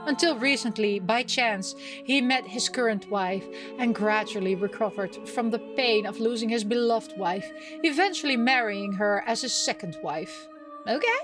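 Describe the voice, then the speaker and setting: High-voiced woman playing a game, music behind. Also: moderate pace. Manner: playful, expressive, exclaims and chatters to herself. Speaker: gaming video host. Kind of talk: let's play, reading game text aloud with reactions.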